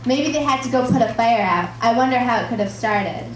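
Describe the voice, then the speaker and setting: A curious young puppet character, voiced by a woman, speaks with eager, simple phrasing in a children's show. The voice is somewhat high, heard from across a roomy space with clear noise over it. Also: lively intonation, a little fast, close to an everyday voice. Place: live show in a room, recorded from the audience. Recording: roomy, distant, noisy live show recording.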